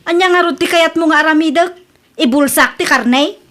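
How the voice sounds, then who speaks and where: High-voiced gossipy Ilocano wife, acted by a woman. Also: moderate pace, a bit bright. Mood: indignant, mocking. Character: gossipy, proud, easily embarrassed village wife. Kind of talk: Ilocano comedy radio drama acting.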